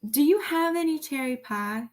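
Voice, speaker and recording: A woman's voice: high voice, expressive, normal speed, a little mellow. A woman playing an eager, earnest young male police officer in a student radio play.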